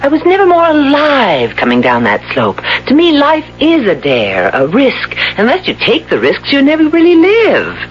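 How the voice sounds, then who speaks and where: A woman's voice: sits high, wildly swooping pitch, normal speed, hardly any pauses, faint music behind. An actress with an American accent playing a reckless, playful young wife in her twenties in a 1970s radio drama. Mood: earnest. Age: 20s. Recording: dull, noisy old radio broadcast.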